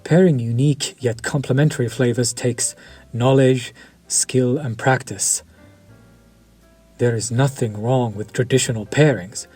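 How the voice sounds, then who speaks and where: Vampire chef, played by a man: unhurried, husky, formal and seductive, over faint music. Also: mid-range voice, darkish tone. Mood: suave. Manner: polished, formal and flirtatious, lingering over words. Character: suave vampire chef, courteous, quietly predatory. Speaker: voice actor in audio roleplay. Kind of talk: scripted audio roleplay.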